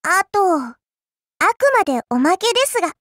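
A woman's voice: very high-pitched, very animated, moderate pace, fairly bright tone, no echo, clean studio sound, heavily acted. A woman voicing a mischievous, teasing little girl villainess in a mobile game, coy and polite with playful menace. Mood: cheerful, wistful. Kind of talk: Japanese mobile game character voice lines.

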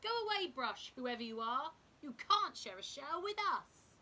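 A woman's voice: high-pitched, big pitch swings, a little slow, pushed and bright, voice pushed up, heavily acted. A woman voicing a possessive, grumpy male crab in a children's storybook, bossy and emphatic. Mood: bossy, defensive.

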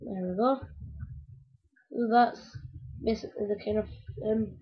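Croaky, slow-talking woman building a toy. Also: medium pitch, fairly even intonation. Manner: rambling, talks to viewers while working with hands. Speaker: video maker building a toy. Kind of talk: casual step-by-step build talk. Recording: dull lo-fi home recording.

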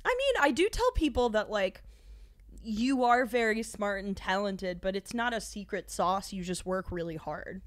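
A woman's voice: mid-range voice, expressive, no room sound. A young woman co-hosting a podcast, joking and bantering casually. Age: young adult. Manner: joking, quick banter, laughs often. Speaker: podcast co-host. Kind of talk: casual chat. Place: living room couch. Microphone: studio mic on boom arm.

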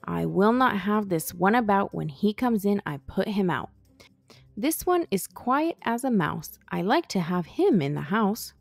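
A woman reading a rhyming children's picture book aloud in a playful, animated storytelling manner. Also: medium pitch, unhurried, creaky, no echo. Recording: music behind the voice. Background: background music.

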